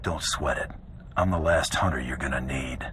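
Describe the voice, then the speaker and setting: A cocky bounty hunter from a game cutscene, with an extremely low voice. He speaks tersely, with dry confidence and a sardonic edge, over faint background noise. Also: moderate pace, sharp tone. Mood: cocky, curt. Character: gruff, cocky bounty hunter, adult man, dryly confident. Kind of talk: video game cutscene acting.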